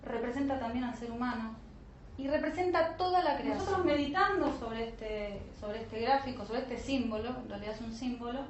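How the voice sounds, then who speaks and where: A woman with a fairly high voice gives an explanatory lecture, checking in with her students through short questions. The recording is roomy and echoey, with a slightly dull sound. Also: brisk. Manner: didactic, frequent check-in questions. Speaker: teacher of an online spiritual alchemy course. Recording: roomy echo, dull lo-fi sound, faint noise.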